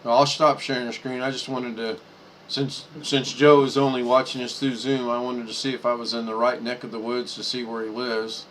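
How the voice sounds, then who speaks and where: A man's voice: mid-range voice, little pitch movement, normal speed, a bit bright, slight background noise, rough recording. A man hosting a casual video chat, talking easily while he works through the screen. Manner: easygoing, talks while working the screen.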